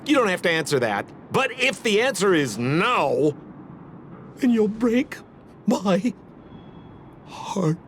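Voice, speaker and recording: Showy trickster rogue, swooping voice. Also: male voice, high-pitched, very animated, slow pace, pauses often, fairly bright tone, a little hiss or hum, exaggerated voice. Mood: coy, theatrical. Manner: showy, drawling, teasing delivery. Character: theatrical, sly, playful male trickster rogue. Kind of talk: video game character acting. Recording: music behind the voice.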